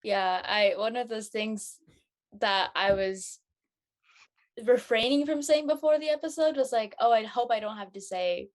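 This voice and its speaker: A woman's voice: fairly high pitch, unhurried. A woman chatting casually in a panel discussion among performers. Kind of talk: casual panel discussion of a live-read show. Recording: noticeable background noise and room ambience.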